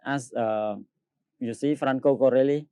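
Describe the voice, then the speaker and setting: A Cambodian man who speaks Khmer as his first language and sings opera tenor, answering an interview in halting English with many fillers and frequent laughs. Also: mid-range voice, fairly even intonation, warm tone, smooth, dry recording, clean studio sound.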